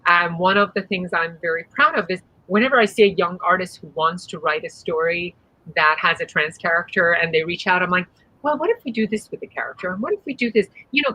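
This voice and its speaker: Panel guest: woman from Iran, Persian native speaker, lowish pitch, punchy and expressive, video-call audio. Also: fairly quick, low-quality recording. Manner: expressive, sassy, earnest. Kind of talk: candid panel conversation. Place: home room.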